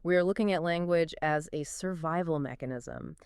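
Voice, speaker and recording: Woman co-host, low-ish smooth voice, very clean and dry sound, eager lead. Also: moderate pace, darkish tone, no echo. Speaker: podcast co-host. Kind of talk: scripted podcast conversation.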